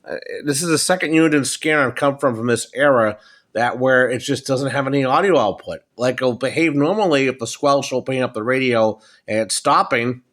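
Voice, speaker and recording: Middle-aged American male, mid-range voice, casual hobbyist presenter, unscripted, matter-of-fact and a bit halting. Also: mid-range voice, a bit bright. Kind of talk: casual off-the-cuff product show-and-tell. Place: indoors at a wooden table in a home. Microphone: handheld phone, speaker behind camera.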